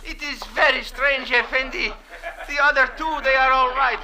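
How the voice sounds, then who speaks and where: A man's voice: extremely high pitch, steady tone, moderate pace, crowd noise. A man playing a servile, superstitious little Egyptian messenger in an old-time radio drama, speaking in ornate, deferential phrases. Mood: agitated, fearful. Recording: vintage radio drama recording.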